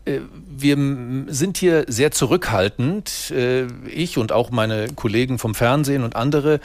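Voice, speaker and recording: Man, journalist, measured, hesitant fillers, dry close sound. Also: medium pitch, no echo. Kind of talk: studio discussion.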